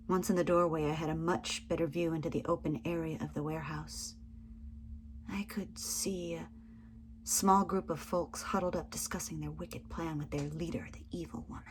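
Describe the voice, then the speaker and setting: A woman's voice: lowish voice, background noise. A woman reading a first-person story aloud in a dramatic, suspenseful and theatrical manner. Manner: suspenseful, theatrical, swinging from hushed to forceful. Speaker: reader of a written memoir.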